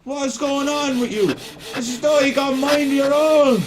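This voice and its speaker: An actor playing a defensive, exasperated factory worker in a radio play. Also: male voice, very high voice, steady tone, normal speed, noisy background.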